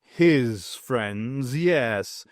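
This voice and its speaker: A man's voice: medium pitch, lively intonation, very slow, no room sound, studio quality. Man as a muttering cat swordsman. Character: gruff, puzzled male cat swordsman.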